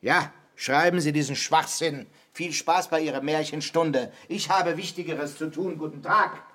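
A man's voice: on the high side, unhurried, a bit bright. A male actor playing a sardonic, theatrical politician with a secret, mocking and florid, in a radio drama. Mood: scornful. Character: sardonic, theatrical politician hiding his ape origins.

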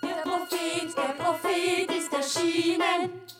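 Women playing adoring desert maidens in a radio play, chanting in reverent, half-sung lines. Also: female voice, very high voice, steady tone, takes it slowly. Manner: reverent, sing-song. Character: adoring desert maidens hailing their prophet. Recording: echoey, over loud music. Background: loud music.

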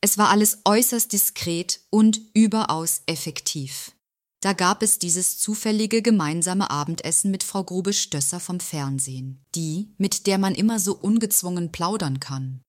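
A woman's voice: on the low side, moderate pace, no room sound, studio quality, natural voice. A woman playing a smug, scheming company confidante in an audio drama monologue, slyly self-satisfied with feigned innocence. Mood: smug, coy.

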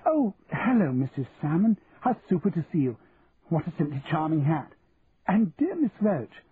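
A man acts out a confident young society lady from a storybook. Her upper-class English speech is raised and very lively, gushing with politeness. The recording is narrow, like a phone line. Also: on the high side, normal speed, husky, voice pushed up, exaggerated voice. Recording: muffled narrow-band audio with hiss.

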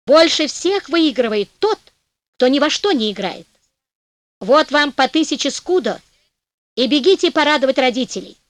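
A woman's voice: high-pitched, lively intonation, normal speed, long pauses, no room sound. A woman voicing a bold, cheerful young male hero who gives orders and teases. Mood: commanding. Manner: commanding and mocking.

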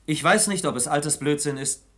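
An actor playing a dry, coolly official interior minister in a German science fiction radio drama, reporting in a measured, sardonic way. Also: male voice, a little high, a bit bright, lightly acted.

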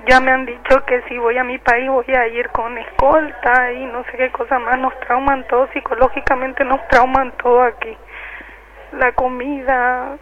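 A muffled telephone line with faint noise carries a woman's high voice. She is answering questions in a radio interview, giving her own testimony. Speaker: detainee giving testimony by phone.

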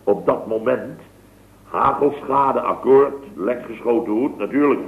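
A man's voice: medium pitch, measured pace, vocal fry, a little hiss or hum. A man playing a boastful, rambling contractor in a 1960s radio comedy. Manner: rambling, boastful, full of tag words. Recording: tinny, echoey old radio broadcast.

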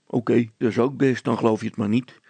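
A man voicing a stranded father in an audiobook story, loud, urgent and curt as he calls out. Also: medium pitch, steady tone, fast talker, husky, dry recording. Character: stranded father Brilstra, shouting evasively for help.

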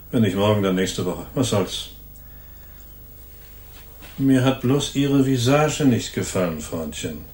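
A man's voice: on the low side, a little mellow. An actor playing a cynical, hardened ex-convict gunman in a radio crime drama, talking in sneering, slangy tough-guy phrases. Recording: roomy studio echo.

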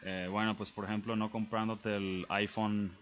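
A young man with a Mexican accent, a guest on a casual radio interview, relaxed and hesitant with many fillers. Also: lowish voice, moderate pace, lo-fi sound, slight background noise. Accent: Mexican Spanish.

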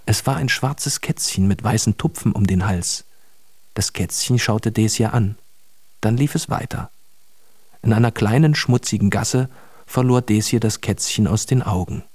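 A man narrating a children's radio story in a measured storytelling manner. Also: on the low side, darkish tone, no room sound.

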